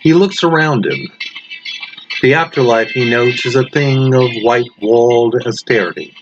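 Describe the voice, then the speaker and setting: A man reading a scripted horror story aloud in a deliberate, dramatic manner. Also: medium pitch. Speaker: story reader. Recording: muffled lo-fi with loud background noise.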